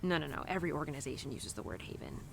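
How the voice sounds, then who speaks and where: A woman's voice: low voice, sing-song, rapid-fire, vocal fry, a little hiss or hum, heavily acted. A woman playing a young, animal-loving cowgirl who confides tenderly in her horse in an intimate, casual, rambling audio roleplay. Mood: dreamy, excited. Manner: casual, rambling, sighing and giggly.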